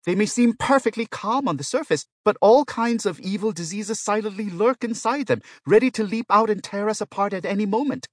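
A man's voice: mid-range voice, normal speed, dry recording, clean studio sound, voice pushed up, lightly acted. A man voicing an anxious, indignant male hospital patient in an audiobook story, pleading and complaining. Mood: agitated protest.

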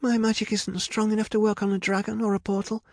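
A man's voice: a little high, fairly even intonation, normal speed, dark tone, slightly rough, dry recording, clean studio sound, voice pushed up. A man voicing a cautious, scolding young woman, an insistent elder sister in a fantasy storybook.

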